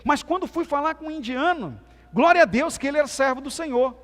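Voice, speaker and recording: High-voiced Brazilian pastor in a reverberant hall. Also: male voice, lively intonation, fairly quick. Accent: Brazilian Portuguese, softened Minas Gerais. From Minas Gerais, Brazil. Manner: preaching with emphatic swings between loud and soft. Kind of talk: sermon. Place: church sanctuary.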